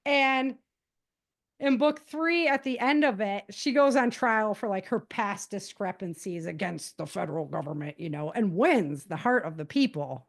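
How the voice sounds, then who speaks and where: A woman's voice: mid-range voice, expressive, moderate pace, gravelly. American woman, casual storytelling author. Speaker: author and podcast guest. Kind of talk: casual spoken summary of own novels.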